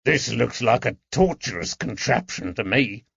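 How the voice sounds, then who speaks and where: A man's voice: mid-range voice, animated, normal speed, gravelly, fairly bright tone, slightly rough, no echo, dull audio, full character voice. A man voicing a burly, blunt and touchy constable in an audiobook, indignant and blustering. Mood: disgusted. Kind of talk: audiobook character dialogue.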